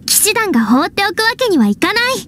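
A woman's voice: extremely high pitch, sing-song, quick pace, a little hiss or hum, full character voice. A woman voicing an earnest young knight scout in an anime video game, girlish, confident and reassuring. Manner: bright-spirited, confident, girlish. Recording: music behind the voice.

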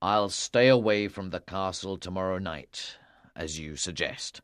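A grave medieval king from a storybook, played by a man, weary and resigned. His voice sits fairly low and he speaks in a measured way. The sound is dry. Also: a bit bright, clean tone, no echo. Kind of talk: dramatised storybook reading.

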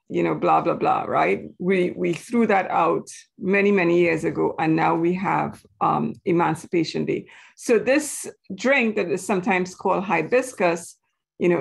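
A woman's voice: fairly low pitch, gravelly, breathy edge. A woman from Trinidad and Tobago, giving a casual, chatty presentation to a group. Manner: chatty, informal asides to the audience.

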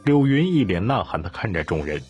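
A man's voice: on the low side, very animated, fairly quick, darkish tone, muffled recording, soft background music. A man narrating a scripted audiobook story.

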